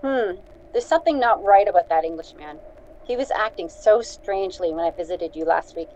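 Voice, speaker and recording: A woman's voice: lowish voice, animated, a little fast, darkish tone, a little hiss or hum, lightly acted. Woman playing a worried farm wife, slightly muffled. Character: worried, caring farm wife, daughter and sister. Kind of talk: radio drama acting.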